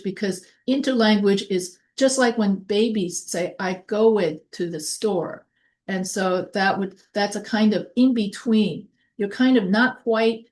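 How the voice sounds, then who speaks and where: A woman giving a recorded college lecture. She reads her notes in a measured way, then explains things off the cuff with restarts and fillers. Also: mid-range voice, a little mellow. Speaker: college instructor.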